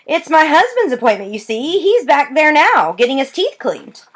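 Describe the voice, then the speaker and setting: Woman reading as a kind, gentle older lady. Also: high voice, sing-song, normal speed, pitched above the performer's own voice. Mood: polite.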